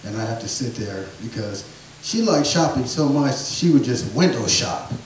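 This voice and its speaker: Soft-voiced storyteller in a very echoey room. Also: male voice, medium pitch, lively intonation, moderate pace, far from the mic, slight background noise. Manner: rambling, soft-voiced family anecdotes with restarts. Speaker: stand-up comedian. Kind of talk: storytelling stand-up comedy. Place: small theatre or bar stage.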